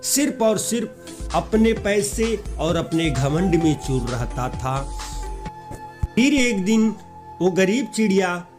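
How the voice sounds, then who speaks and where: Male narrator for a children's fable: unhurried, drawn-out delivery, slightly high register, music bed underneath. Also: a little mellow. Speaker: story narrator. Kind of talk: scripted children's moral-story narration.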